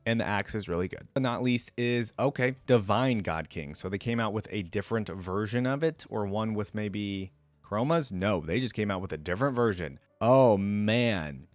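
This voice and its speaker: A man's voice: fairly low pitch, animated, moderate pace, no room sound. A man giving casual reaction commentary as a video host, slangy and thinking aloud as he weighs his opinions.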